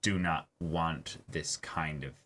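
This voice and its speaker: A man with a notably low voice that still comes across bright and forceful. He talks casually, like a show host discussing things with a friend. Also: fairly even intonation, takes it slowly, a little hiss or hum. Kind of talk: casual chat.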